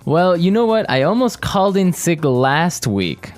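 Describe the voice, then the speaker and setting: A man co-hosting an English lesson podcast, chatting and repeating phrases slowly and clearly for learners. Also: on the high side, sing-song, soft background music. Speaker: podcast co-host.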